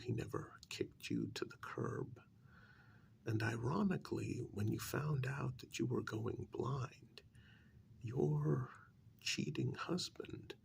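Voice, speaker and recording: A man acts out a calm, shady doctor in a soft ASMR role-play. His voice is heavily fried and hushed, sinking often into whispers. He speaks slowly, with deliberate pauses and gentle reproach, over faint background noise. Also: mid-range voice, gravelly, exaggerated voice. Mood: knowing, measured. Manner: slow, deliberate, lightly admonishing, with drawn-out pauses. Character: calm, shady male doctor who transplants wolf eyes.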